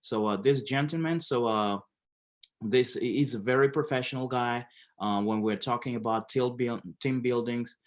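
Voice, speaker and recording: A man from Haiti presenting a business webinar, with many fillers and halting restarts. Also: medium pitch.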